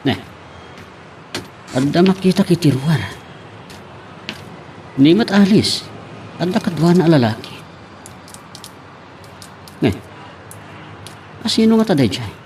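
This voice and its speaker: A man's voice: fairly high pitch, lively intonation, slow pace, long pauses, a little mellow, slight background noise. A man with an Ilocano accent acting a hesitant, lovesick young employee in an amateur audio drama. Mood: uneasy. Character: hesitant lovesick young male employee, unsure with his boss.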